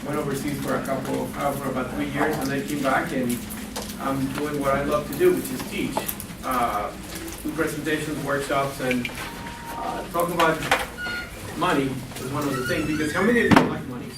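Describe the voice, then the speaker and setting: A man's voice: mid-range voice, fairly even intonation, normal speed. Chatty man teaching a roomful of students. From Guatemala and Costa Rica. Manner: chatty, asks the audience questions. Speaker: financial literacy educator. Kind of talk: classroom workshop presentation. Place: lecture room. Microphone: far camera. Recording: echoey room from far off, noisy.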